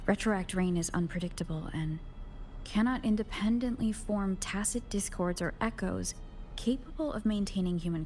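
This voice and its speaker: A woman voicing a composed, analytical young video game heroine in a measured, serious, scripted delivery. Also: mid-range voice, darkish tone, natural voice. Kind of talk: scripted game dialogue and log narration.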